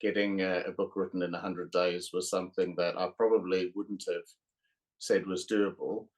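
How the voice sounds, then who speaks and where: A middle-aged man from South Africa with a South African accent, a guest author talking in a podcast interview, pausing often with fillers. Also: low-pitched, flat delivery. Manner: hesitant, many uh fillers.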